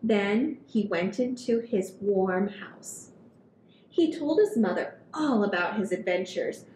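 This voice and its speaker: Slow, expressive woman reading to children. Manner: expressive, drawn-out storytelling for small children. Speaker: library story hour host. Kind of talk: read-aloud of a children's picture book. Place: small indoor room at a table. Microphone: headset. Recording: roomy echo, low rumbling noise.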